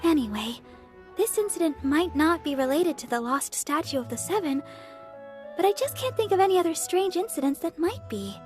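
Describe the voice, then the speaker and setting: A woman's voice: sits high, lively intonation, normal speed, faint music behind. A woman playing a sweet, earnest young church member in a video game, polite, bubbly and helpful. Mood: thoughtful. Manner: polite, bubbly, thinks aloud. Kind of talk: video game character acting.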